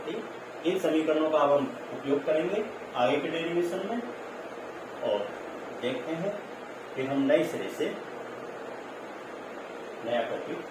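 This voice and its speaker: A man's voice: a little high, animated, a little slow, pauses often. Man lecturing in a very echoey room. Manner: explanatory, repetitive, with check-in tags. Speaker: physics teacher. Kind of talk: classroom lecture mixing in English terms. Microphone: distant microphone. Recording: very echoey, distant mic, clear noise.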